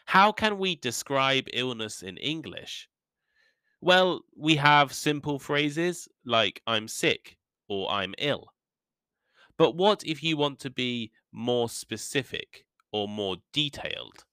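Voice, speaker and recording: A man hosting an English-learning podcast, teaching in a careful, deliberate way with a few ums, his voice still slightly rough from a cold. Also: medium pitch, animated, bright, forceful tone, no echo. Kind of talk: slow, clear podcast lesson for learners.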